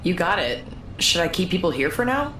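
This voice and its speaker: Woman acting casual helpful tech sidekick, audio drama, lowish voice with lots of bounce, echoey room. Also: sing-song, a little fast, fairly bright tone. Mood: easygoing. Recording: roomy, faint background noise.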